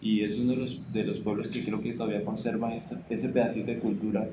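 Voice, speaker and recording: A man's voice: lowish voice, flat delivery, quick pace, dark tone. A man, politely and somewhat hesitantly hosting an informal interview. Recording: muffled, echoey, noisy room recording.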